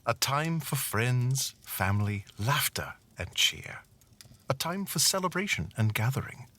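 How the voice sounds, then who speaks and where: A man playing a storybook narrator, telling a scripted holiday tale in a deliberate, dramatic way. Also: mid-range voice, sing-song, pushed and bright, full character voice. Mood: inviting, mysterious.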